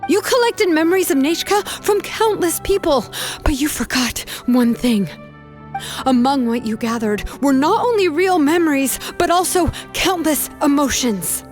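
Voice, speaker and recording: A calm, steady young woman in a video game, firm and earnest in measured phrases. Her voice sits fairly high. Faint music plays underneath.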